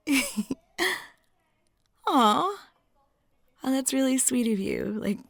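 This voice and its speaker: Giggly, flirty woman with a creaky edge. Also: sits high, expressive, extremely slow, no echo, studio quality. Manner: giggly, chatty, casual, flirtatious. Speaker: audio roleplay performer. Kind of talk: intimate ASMR-style roleplay monologue.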